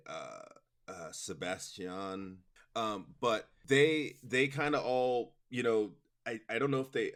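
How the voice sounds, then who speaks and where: A man's voice: deep voice, a bit bright. A man answering interview questions as a guest producer, in a rambling, explanatory, conversational way peppered with "you know". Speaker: interview guest, audio drama producer and director.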